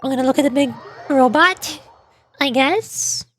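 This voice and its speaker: A woman's voice: high voice, unhurried. A woman streamer giving chatty, casual commentary as she plays a game. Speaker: streamer, VTuber.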